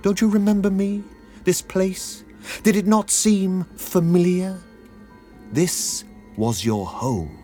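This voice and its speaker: A man voicing a sinister old male tailor who speaks slowly, emphatically and almost aggressively. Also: high voice, dark tone, soft background music, pitched above the performer's own voice. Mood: menacing.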